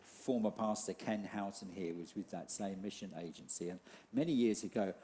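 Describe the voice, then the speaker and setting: Casting: middle-aged church leader, mellow low-key tone, faint room hum, stop-start phrasing. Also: male voice, moderate pace. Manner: hesitant, many restarts and fillers. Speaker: church leader hosting an interview. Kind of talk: church interview. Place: church hall.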